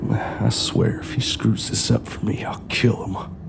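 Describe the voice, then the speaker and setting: An actor playing a wary, irritable crook with a gruff, grumbling manner in an audio drama. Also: male voice, on the low side, slow pace, noisy background, full character voice. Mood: annoyed, tense. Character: irritable, wary male crook, new to the crew.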